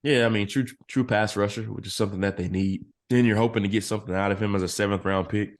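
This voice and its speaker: A man analyzing football draft picks as a podcast guest, his voice a little hoarse and clearing his throat now and then. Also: on the low side, quick pace. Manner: steady analytical explaining. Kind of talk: casual podcast interview.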